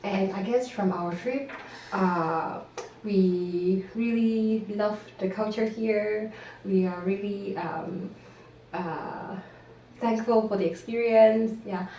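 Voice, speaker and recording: A woman teacher, speaking in a mellow, unhurried way, pausing to collect her thoughts. Her voice sits a little far from the mic in a roomy space, with a faint hum of room noise. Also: medium pitch, steady tone. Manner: thoughtful, with many fillers and pauses. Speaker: visiting teacher from Hong Kong. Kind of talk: interview answers.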